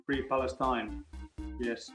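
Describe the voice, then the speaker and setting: A man's voice: medium pitch, fairly even intonation, slow pace, a bit bright, reverberant, soft background music. A man hosting a live online quiz, chatting to the players and explaining the answers at length between questions. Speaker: quiz host.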